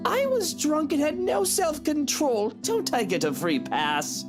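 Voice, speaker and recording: A woman's voice: sits high, wildly swooping pitch, measured pace, voice pushed up, full character voice. A woman voicing a smug married man in a visual novel, sneering, condescending and threatening, with music behind. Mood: cajoling. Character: smug married male cheater, taunting and menacing. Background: game music with guitar.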